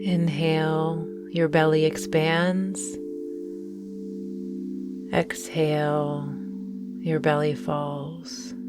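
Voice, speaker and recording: A woman guiding a meditation in a gentle, soothing manner, with deliberate phrasing and long pauses. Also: deep voice, level tone, loud music behind the voice. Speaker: meditation guide and host. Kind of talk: guided morning meditation.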